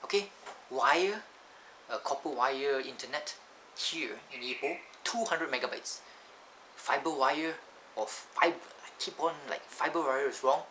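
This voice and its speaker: A man with a Malaysian English accent rambling through a casual vlog, often correcting himself and drifting off topic, recorded on a camcorder's built-in microphone that makes his voice sound thin and lacking bass. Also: measured pace, pushed and bright, rough recording. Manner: rambling, digressive, self-correcting. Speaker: vlogger. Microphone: built-in camcorder mic. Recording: camcorder audio with clear background noise.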